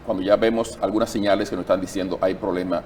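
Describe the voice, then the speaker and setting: Man, talk show host, lowish voice, lively chat, faint hiss behind. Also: quick pace.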